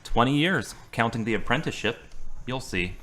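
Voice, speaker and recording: A man's voice: medium pitch, animated, moderate pace, sharp tone. A man playing a wry, dry-humored carpenter. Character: wry male carpenter recovering from surgery. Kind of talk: amateur audio drama.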